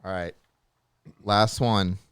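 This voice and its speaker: A man chatting casually as he hosts a quiz among friends, animated and joking in his delivery. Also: low-pitched, slow pace, clean tone, no echo. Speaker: podcast co-host running a movie trivia game. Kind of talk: casual chat.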